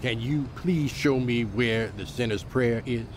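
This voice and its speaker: Man acting a gruff, sardonic homeless man. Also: mid-range voice, a little slow, slight background noise, natural voice. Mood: dry, probing. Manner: dry, curt, confrontational. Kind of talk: radio drama acting.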